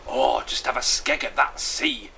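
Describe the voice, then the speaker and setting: A man's voice: mid-range voice, a little slow, pushed and bright, slight background noise, voice pushed up. A man voicing an eager, bossy female storybook character, blunt and emphatic, with a Northern English accent. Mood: excited.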